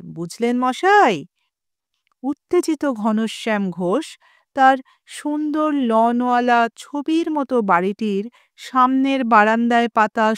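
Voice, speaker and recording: A woman narrating a scripted story in a measured, expressive way, as an audio storyteller. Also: fairly high pitch, no room sound, clean studio sound.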